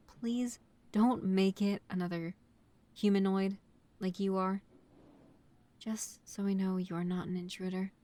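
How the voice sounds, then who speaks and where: A woman plays a young female vampire in an audio roleplay, a bossy but considerate housemate. She speaks slowly at a middling pitch, laying down rules in a halting, matter-of-fact manner. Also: a little mellow, natural voice. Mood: firm, mildly exasperated. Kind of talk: roleplay audio acting, addressing the listener.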